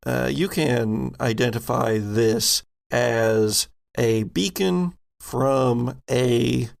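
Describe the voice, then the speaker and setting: Slow, gravelly man narrating a game. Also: medium pitch. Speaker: game master. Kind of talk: narrating a tabletop roleplaying game podcast.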